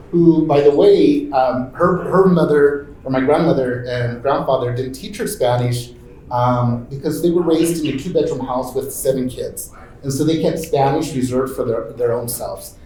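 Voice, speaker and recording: A man's voice: mid-range voice, dark tone. Guy moderating a panel, lots of ums and restarts, echoey room. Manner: halting, full of fillers like "um" and "what is it". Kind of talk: casual conference talk. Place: conference room.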